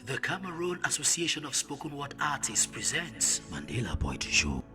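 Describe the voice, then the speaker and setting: A man's voice: medium pitch, wildly swooping pitch, normal speed, loud music behind the voice. A man reading a scripted promo voice-over, announcing a list of names.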